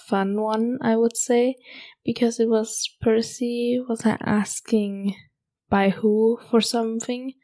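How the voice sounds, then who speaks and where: A young woman, hosting a podcast and chatting casually about a book in a hushed half-whisper, late at night at home. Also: fairly high pitch. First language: German. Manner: hushed, half-whispered talk to avoid waking roommates. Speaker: podcast host.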